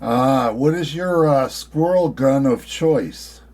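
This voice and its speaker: A man with an American accent chatting casually and conversationally, in a somewhat halting way. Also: medium pitch, background noise. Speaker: amateur radio operator. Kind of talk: casual chat.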